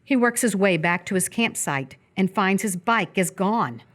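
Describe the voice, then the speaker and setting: A woman's voice: mid-range voice, lively intonation, measured pace. A woman narrating scripted stage action for a live radio theatre piece.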